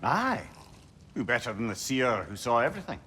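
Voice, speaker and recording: An actor voicing a witty old disembodied head, who tells stories in a wry, lilting way. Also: male voice, mid-range voice, sing-song, normal speed, pushed and bright, soft background music. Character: witty wise disembodied head, older male.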